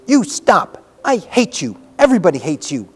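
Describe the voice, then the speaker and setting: Guy doing a sour fierce little girl from a storybook, high voice, snappy and scolding, emphatic. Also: huge pitch swings, moderate pace, husky, in a large hall, exaggerated voice. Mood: furious shouting. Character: fierce, sour, hot-tempered young girl.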